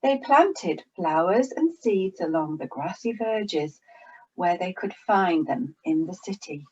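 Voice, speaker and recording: Slow, expressive woman storyteller. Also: fairly low pitch, breathy edge, highs cut off. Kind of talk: reading a children's story aloud.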